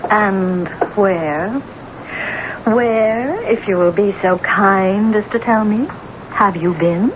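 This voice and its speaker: An actress in an old radio drama playing an imperious, high-strung woman novelist with a theatrical, scornful, biting delivery. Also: slow pace, background noise, lightly acted. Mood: furious, indignant. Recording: muffled lo-fi radio drama tape.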